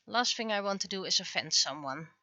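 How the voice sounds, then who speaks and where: Bright-voiced woman, dull dry recording. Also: mid-range voice, lively intonation, dry recording. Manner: halting, thinking aloud. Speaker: livestreaming webcomic artist. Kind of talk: casual livestream chat while drawing.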